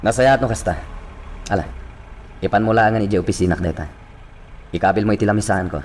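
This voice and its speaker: Man acting a low-voiced police chief. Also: fast talker, slight background noise, close to an everyday voice. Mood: commanding. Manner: formal, authoritative. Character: brisk, official male police chief. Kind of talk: radio drama acting.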